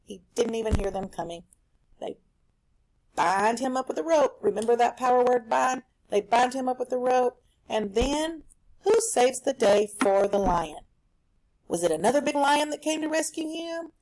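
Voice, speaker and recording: A woman teaching a recorded reading lesson for children at home, explaining a story in an animated, explanatory way. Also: on the high side, moderate pace, rough recording.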